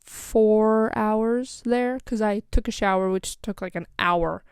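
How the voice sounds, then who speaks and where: Young woman, American accent, smooth clear voice, dry close sound, rambling casual vlog chat. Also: medium pitch, slow, darkish tone, dry recording. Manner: rambling, scatterbrained, lots of "like" and "um". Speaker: vlogger. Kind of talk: casual storytelling.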